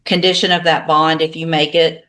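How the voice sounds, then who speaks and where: A woman judge giving a ruling in a courtroom, speaking in a firm, deliberate way. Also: sits low, level tone, sharp tone.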